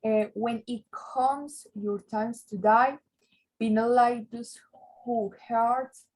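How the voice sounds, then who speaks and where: A woman student reading a text aloud haltingly, stumbling and repeating words. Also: fairly high pitch, steady tone, a little mellow, poor audio.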